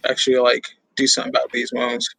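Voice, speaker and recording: A man's voice: mid-range voice, completely flat delivery, fast, vocal fry, bright, forceful tone, breathy edge, dry recording, rough recording. A man talking casually as a player in a tabletop roleplaying game.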